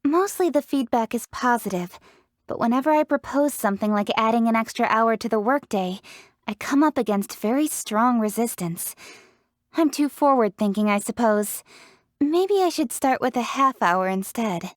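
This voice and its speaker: A woman's voice: a little high, lively intonation, moderate pace, dry recording, clean studio sound, natural voice. An actress playing a confident, proud young woman leader in a fantasy video game, speaking in an articulate, earnest, self-assured way. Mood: matter-of-fact. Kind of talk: scripted video game character voice lines.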